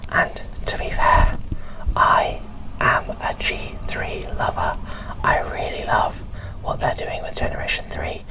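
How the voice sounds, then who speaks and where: Slow whispering woman, breathy ASMR. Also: extremely low pitch, poor audio. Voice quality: breathy whisper with little voicing. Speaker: doll collector presenting an unboxing.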